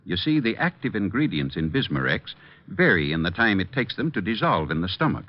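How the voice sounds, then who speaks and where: A male American announcer pitches in polished 1940s radio style. His voice is low with lively, emphatic swings, on a dull old recording. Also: fairly quick.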